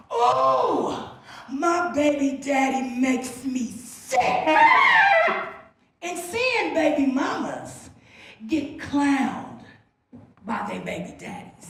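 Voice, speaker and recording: A woman's voice: high voice, a bit bright. A woman performing spoken word on stage with a rhythmic, dramatic delivery. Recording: echoey hall from far off with music.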